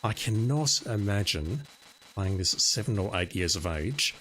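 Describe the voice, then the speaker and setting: Low-voiced man chatting over gameplay. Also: a little slow, a little hiss or hum. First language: Russian. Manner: relaxed, musing, chatty. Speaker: streamer. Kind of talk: casual gameplay commentary.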